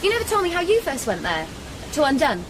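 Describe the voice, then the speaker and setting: A sulky British grown-up daughter in a surreal radio play. Her voice sits high and swoops about as she protests melodramatically, with clear ambient noise around her. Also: wildly swooping pitch, fast talker. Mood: confiding. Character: petulant, sulky grown daughter, quick to argue. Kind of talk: surreal radio comedy drama acting.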